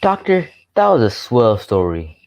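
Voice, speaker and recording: A man's voice: mid-range voice, huge pitch swings, measured pace, a little mellow, dry recording. A man reading scripted announcements as a radio show's announcer.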